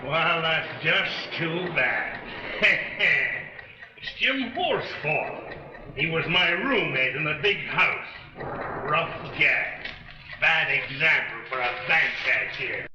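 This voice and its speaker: An actor playing an embittered, sardonic escaped convict and burglar, slangy and sneering, in 1930s film style. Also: male voice, fairly high pitch, expressive, slow. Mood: sardonic, menacing. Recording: muffled, hissy, echoey, distant 1930s film soundtrack.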